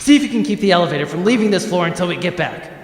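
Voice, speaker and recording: Casting: man doing awkward, blustering privateer commander for sci-fi comedy, high-pitched. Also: expressive, racing, echoey room, long reverb tail. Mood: urgent, blustering. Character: earnest, bumbling male commander of space privateers. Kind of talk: comedic audio drama acting.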